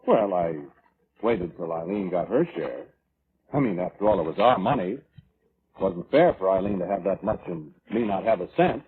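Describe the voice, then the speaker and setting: A tinny, hissy vintage radio drama recording carries a weaselly brother giving testimony. His lowish voice swings widely as he whines, evades and justifies himself, halting and defensive. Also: animated. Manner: defensive, whining, evasive, halting. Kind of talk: 1940s radio drama acting.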